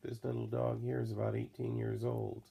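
A hoarse, tired middle-aged man rambling slowly in a late-night video diary, coughing now and then, recorded close on a laptop camera in a small room. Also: medium pitch, completely flat delivery, mellow. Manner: slow, tired, rambling with many fillers. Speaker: vlogger. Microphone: laptop or phone camera close to face.

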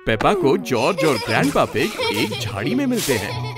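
A cheerful storyteller narrates a children's cartoon. The delivery swings widely in pitch, with music playing strongly underneath. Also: male voice, wildly swooping pitch, moderate pace. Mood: bright storytelling.

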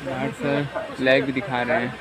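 A man's voice: flat delivery, normal speed, a loud crowd. A young man in his twenties, chatting casually to viewers as a travel vlogger from a moving train's doorway, phone held at arm's length. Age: 20s. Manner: chatty, addresses viewers as brother and guys. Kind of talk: casual travel vlog commentary. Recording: loud train noise. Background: loud train rumble and wind.

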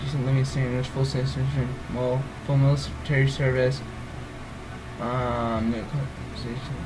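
A man's voice: medium pitch, flat delivery, normal speed, background noise. Groggy man rambling through a game. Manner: rambling, hesitant, full of ums and self-corrections. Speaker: let's play gamer. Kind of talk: casual gameplay walkthrough.

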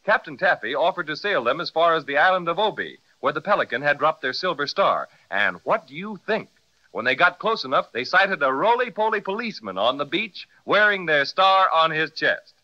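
A man's voice: on the high side, big pitch swings, a little fast, bright, forceful tone. A man narrating a children's story in 1930s radio style, speaking directly to young listeners. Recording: dull, muffled 1930s radio recording.

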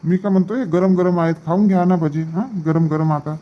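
A man's voice: sits high, fairly even intonation, a little fast, dull audio, natural voice. A man voicing easygoing village farm men in a cartoon comedy.

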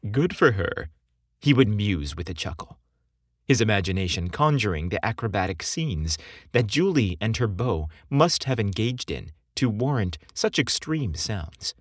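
A man hosting and narrating a scripted story podcast in a theatrical, expressive storytelling style. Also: fairly low pitch, measured pace, no room sound, studio quality. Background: music behind the voice.

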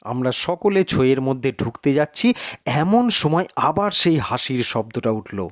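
A man reading a suspense story in a measured, literary, dramatic narration. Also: medium pitch, sing-song, no room sound, lo-fi sound.

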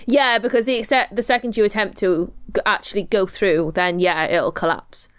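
A woman's voice: medium pitch, steady tone, moderate pace, clear, resonant tone, no room sound, clean studio sound. A young woman with a Welsh accent chatting casually as a podcast co-host. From Wales. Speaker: podcast co-host and astrophysics researcher. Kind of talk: casual chat.

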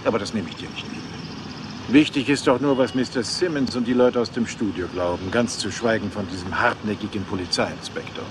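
A man's voice: lowish voice, a little fast, background noise, lightly acted. A man playing a smug, insinuating Hollywood gossip reporter who blackmails, in a dubbed crime series. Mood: insinuating, threatening.

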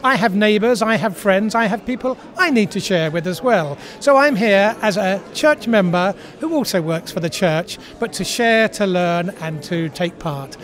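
A man's voice: sits high, moderate pace, few pauses, a bit bright. A man who works for a church, giving an emphatic interview response in short listed phrases. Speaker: church worker and member.

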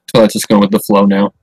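A man's voice: fairly low pitch, a little mellow, slightly rough, dry recording. A man hosting a livestream and chatting casually while playing a game, giggling and reacting to the dialogue. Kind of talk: casual gameplay commentary.

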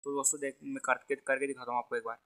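A man's voice: mid-range voice, flat delivery, racing, sharp tone. A young man presenting a tech tutorial as an online video host. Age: young adult. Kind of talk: tech tutorial to camera and screen.

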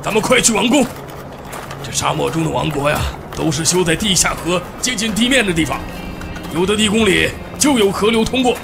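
A man playing an excitable, absent-minded elderly archaeology professor in an audio drama, with a creaky voice. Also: sits high, brisk. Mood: flustered. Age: older. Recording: loud music under the dialogue.

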